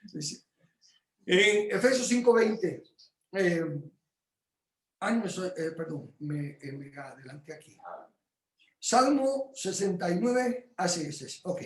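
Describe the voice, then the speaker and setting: A man teaching his home group about praise in a casual but animated way, jumping into loud exclamations and stumbling over fillers. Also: sits high, slow pace, long pauses, reverberant, rough recording. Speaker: Bible teacher.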